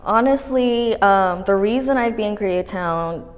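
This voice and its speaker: A woman's voice: medium pitch, unhurried. A young woman from Los Angeles as an interview guest, laid-back and candid, swearing casually and using lots of likes and ums. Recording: long room reverb.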